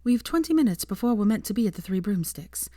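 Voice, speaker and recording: A woman voicing a fond, sensible young witch in an audiobook, earnest and gently chiding. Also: mid-range voice, expressive, quick pace, dry recording, studio quality, pitched above the performer's own voice, natural voice. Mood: teasing. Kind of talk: audiobook character voice.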